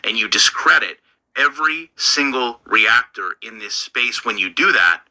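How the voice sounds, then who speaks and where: A man's voice: mid-range voice, lively intonation, a little slow, sharp tone, rough recording. A man hosting a commentary channel, lecturing bluntly in a scripted delivery.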